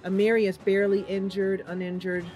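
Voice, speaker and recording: A chatty woman talks through her game as she plays, pausing to think with little ums and calling out to her viewers. Her voice sits at a middle pitch for a woman. Soft game music runs faintly underneath. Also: little pitch movement. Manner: playful, addresses viewers directly. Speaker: gaming channel host. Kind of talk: casual let's-play commentary.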